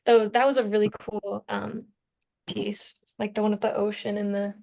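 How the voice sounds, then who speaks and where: A woman's voice: mid-range voice, flat delivery, normal speed, vocal fry, dark tone. A young woman in a casual student podcast discussion, informal and often searching for her words. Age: young adult. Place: remote internet call.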